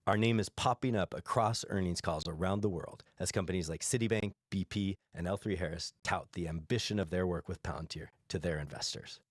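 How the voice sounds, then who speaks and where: Low-pitched man reading corporate remarks. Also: a little fast, few pauses, dry recording, clean studio sound. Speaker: company executive. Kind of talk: scripted earnings call presentation.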